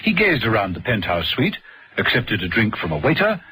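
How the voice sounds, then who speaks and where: An actor playing the narrator of a radio spy serial, reading scripted story links. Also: male voice, on the low side, wildly swooping pitch, brisk, pushed and bright, a little hiss or hum, heavily acted, poor audio. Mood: scene-setting.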